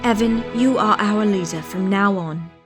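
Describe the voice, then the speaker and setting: A dignified heroine voiced by a woman for a fantasy game dub, in a medium-pitched voice. She is earnest and solemn, with clear music playing behind her. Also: normal speed, close to an everyday voice. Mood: resolute.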